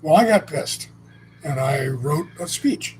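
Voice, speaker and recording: A man's voice: on the high side, expressive, measured pace, darkish tone, slight background noise. A man co-hosting a casual chat, telling stories in a blunt, salty, rambling way with frequent restarts. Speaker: co-host. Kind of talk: casual conversational storytelling.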